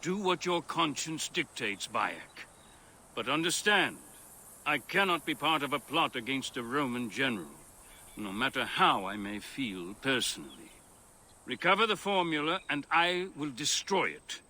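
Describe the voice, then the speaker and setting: A learned Roman builder for a video game. His voice is bright and swings widely in pitch, with formal, earnest, theatrical phrasing. Faint ambience sits behind him. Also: big pitch swings, unhurried, slight background noise, full character voice. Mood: troubled, insistent. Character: earnest, educated Roman male architect, past his youth, conscientious. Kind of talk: video game cutscene dialogue.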